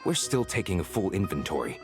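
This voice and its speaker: Commanding officer, male, deep register, formal and measured for a video game, clear musical backing. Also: big pitch swings, music in the background. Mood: grave, formal.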